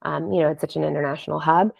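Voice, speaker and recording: A woman's voice: deep voice, fairly even intonation, racing, vocal fry, no room sound. A woman speaking casually and enthusiastically as an interview guest on a podcast. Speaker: podcast guest, wellness app founder. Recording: slightly dull lo-fi audio.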